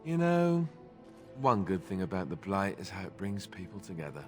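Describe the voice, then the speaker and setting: A sarcastic young warrior from a fantasy game. His lowish voice swings up and down with glib, joking humor, in a long reverb. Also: sing-song, normal speed, faint music behind. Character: wry, joking young warrior, former templar.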